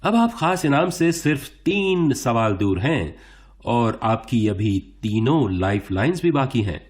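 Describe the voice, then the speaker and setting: An actor playing a suave, encouraging quiz show host in a radio play, announcing theatrically and building suspense. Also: male voice, medium pitch, big pitch swings, measured pace. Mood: upbeat, suspenseful. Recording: music bed under the speech. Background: music.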